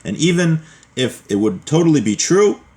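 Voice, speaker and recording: A man teaching a religious class, reading a text aloud and explaining it as he goes, with halting repeats and restarts. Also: a little high, lively intonation, darkish tone.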